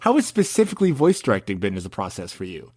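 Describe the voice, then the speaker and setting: A man's voice: lowish voice, wildly swooping pitch, fast, darkish tone, breathy edge, dry recording, clean studio sound. A young man hosting a podcast, chatting casually with jokey asides and frequent laughter. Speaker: podcast host. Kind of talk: casual chat.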